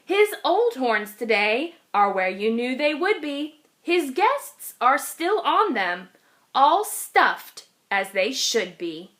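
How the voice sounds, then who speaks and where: A woman reading a children's storybook aloud in an expressive, dramatic way with deliberate phrasing. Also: sits high. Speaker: children's read-aloud reader.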